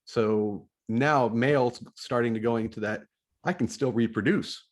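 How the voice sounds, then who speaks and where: A man's voice: mid-range voice, unhurried. A middle-aged man hosting a podcast, explaining his ideas in a casual monologue and often restarting phrases as he checks his notes. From United States. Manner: thinks aloud, often restarting phrases while reading from notes. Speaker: podcast host.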